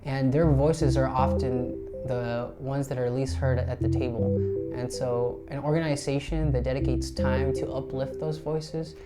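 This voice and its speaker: A twenty-year-old man who volunteers and writes, speaking thoughtfully with pauses in an interview. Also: medium pitch, fairly even intonation. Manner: thoughtful, pausing on fillers. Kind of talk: interview answers. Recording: guitar music clearly behind.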